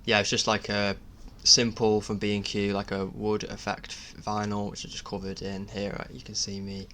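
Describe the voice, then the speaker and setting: Low, flat-toned man, hesitant delivery. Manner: hesitant, halting, self-effacing. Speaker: DIY builder narrating own project. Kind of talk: casual video voice-over. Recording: clear background noise.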